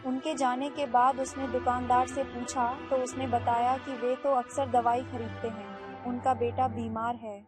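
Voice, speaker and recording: High-voiced woman narrating a cartoon. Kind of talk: scripted storybook narration.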